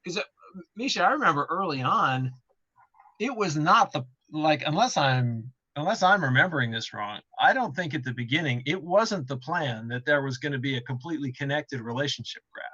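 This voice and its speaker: A man's voice: on the high side, expressive, a little fast, sharp tone, husky, no echo, dull audio. A man in a casual panel discussion as a game developer, explaining things by thinking aloud and repeating himself.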